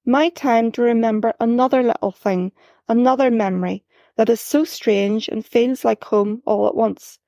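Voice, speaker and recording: Woman author reads own story aloud, calm, reflective, dry close sound. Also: on the high side, normal speed, vocal fry, dry recording. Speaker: author reading her story. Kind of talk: first-person story reading.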